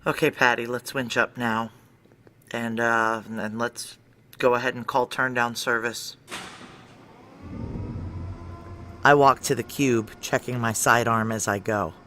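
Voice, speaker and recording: A man's voice: mid-range voice, steady tone, measured pace, bright, forceful tone, a little hiss or hum. A man playing a tough, controlled security chief who narrates a sci-fi noir audio drama in clipped, terse phrases. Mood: businesslike. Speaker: narrator and lead character.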